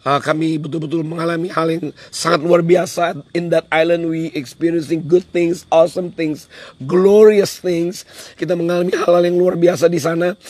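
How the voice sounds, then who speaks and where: A man with a hoarse voice, preaching a devotional in an emphatic, repetitive way. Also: high voice, a little mellow, no room sound. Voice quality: hoarse, husky. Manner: emphatic, repeats phrases for effect. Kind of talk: devotional sermon on a livestream, switching into English at times.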